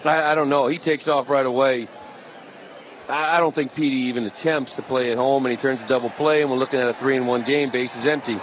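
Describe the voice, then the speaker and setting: A man's voice: medium pitch, fairly even intonation, brisk. A man giving conversational baseball analysis as a color commentator, speaking emphatically and repeating words as he makes his point. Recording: broadcast with faint stadium noise.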